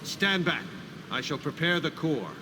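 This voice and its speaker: An actor playing a hearty, devout warrior smith who gives formal, emphatic encouragement in a video game. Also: male voice, a little high, animated, a little slow. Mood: urging. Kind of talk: video game character dialogue. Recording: clear background noise of a game scene.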